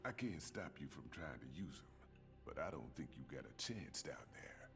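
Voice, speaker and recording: An imposing game-cutscene underworld dealer with an extremely low voice. He is cool, streetwise and ominous, as if he knows everything. Music plays clearly behind him. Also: sing-song, normal speed, exaggerated voice. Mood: grave. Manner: laid-back, streetwise, ominous. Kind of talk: video game cutscene acting.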